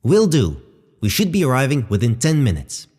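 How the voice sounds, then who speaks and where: A man voicing a calm, formal and exact spaceship computer in a sci-fi audio drama. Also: medium pitch, normal speed, husky, big-room sound. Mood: composed. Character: calm precise male spaceship artificial intelligence.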